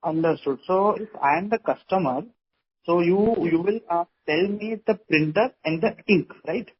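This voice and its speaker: Male caller, Indian accent, high pitch, investor questioning on an earnings call with faint line noise. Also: moderate pace. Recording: dull, noisy phone conference line.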